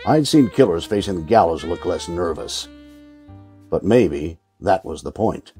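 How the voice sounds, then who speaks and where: A man acting a shrewd, world-weary detective in an old-time radio drama, deadpan and wry in delivery. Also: low voice, measured pace, slightly rough, soft background music, heavily acted. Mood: wry, probing.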